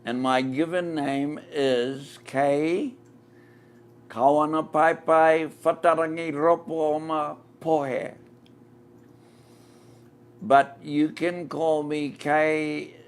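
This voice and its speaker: A man with a New Zealand accent hosting a live-stream interview from inside a themed shop, speaking slowly and deliberately. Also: a little high, flat delivery, smooth. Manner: slow, deliberate, repeats the shop address. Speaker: podcast host.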